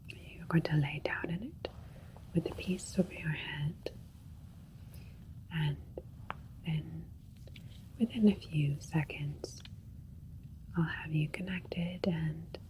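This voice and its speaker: A woman voicing a calm, clinical alien doctor in a sci-fi ASMR roleplay, whispering gently and soothingly. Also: low-pitched, rough recording. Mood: calm, reassuring. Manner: gentle, soothing, deliberate, hushed.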